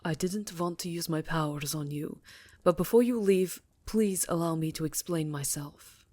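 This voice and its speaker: A woman playing an imperious, secretive vampire countess in an intimate ASMR roleplay, speaking in a measured, dramatic way that dips into breathy whispers. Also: fairly low pitch, mellow, no echo, close to an everyday voice. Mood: earnest.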